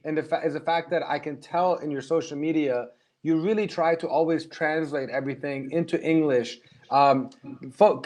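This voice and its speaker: A man hosting an interview, asking eager questions in a casual chat. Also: on the high side. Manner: eager, rapid questioning with many restarts. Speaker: interview host.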